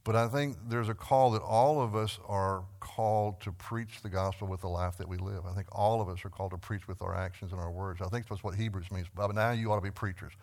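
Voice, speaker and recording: Low-voiced Texan man teaching Bible class. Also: steady tone, fairly quick, few pauses, darkish tone. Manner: rambling, frequent restarts, earnest.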